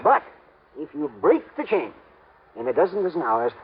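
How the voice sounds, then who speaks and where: A man's voice: on the high side, very animated, moderate pace, slightly rough. An actor playing an erratic, wealthy old man in an old-time radio drama, fretful and stubborn, muttering, exclaiming and repeating himself. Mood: anxious, insistent. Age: older. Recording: muffled vintage radio recording.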